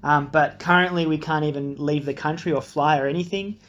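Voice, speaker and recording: A man introduces himself as an incoming pastor, friendly and earnest, with plenty of ums. His voice sits at a middle pitch, and the recording sounds a little dull. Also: steady tone, brisk, fairly bright tone. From Australia. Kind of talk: casual video introduction.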